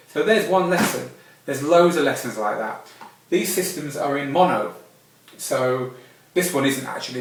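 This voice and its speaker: A man's voice: medium pitch, a bit bright. A man giving an informal, conversational tutorial as a DJ instructor, explaining things in a hands-on way. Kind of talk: informal spoken tutorial with demonstrations. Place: indoor room with strong echo. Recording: very echoey room, distant microphone.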